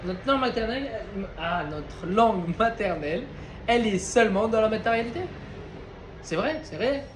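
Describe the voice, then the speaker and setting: A man's voice: high-pitched, lively intonation, normal speed, slight background noise. A man giving an informal Torah class to a group of men, talking conversationally and often checking in with his listeners. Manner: conversational, questioning, addresses listeners directly. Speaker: Torah teacher. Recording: roomy echo with faint ambience.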